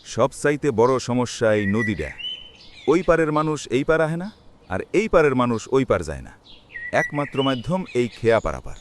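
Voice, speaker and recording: A man's voice: mid-range voice, sing-song, normal speed, a little hiss or hum, natural voice. An actor playing a sceptical, outspoken poor village man in a cartoon, complaining emphatically in a rural Bangladeshi accent. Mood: aggrieved. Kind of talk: cartoon voice acting.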